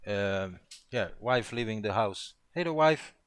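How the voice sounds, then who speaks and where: Guy from poland, kinda low voice, dry sound, thinking out loud while pair programming, wanders off on tangents. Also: lively intonation, unhurried, clear, resonant tone, dry recording. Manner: rambling, thinking aloud, digressive. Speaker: programmer co-hosting a live coding session. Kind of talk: casual pair-programming chat.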